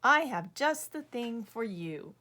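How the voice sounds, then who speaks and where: A woman's voice: on the high side, lively intonation, slow pace, bright, forceful tone, slight background noise, full character voice. Woman as a reassuring little prairie dog. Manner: reassuring, plain-spoken. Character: helpful friendly prairie dog, loyal small rodent. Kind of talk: children's storybook read-aloud.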